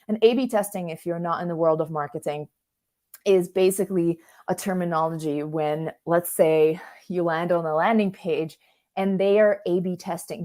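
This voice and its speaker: A woman's voice: fairly low pitch, darkish tone. A woman from Russia, a native Russian speaker, presenting an online coaching workshop in a conversational, informal way, often interrupting herself and checking in with listeners. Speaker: career coach, workshop host.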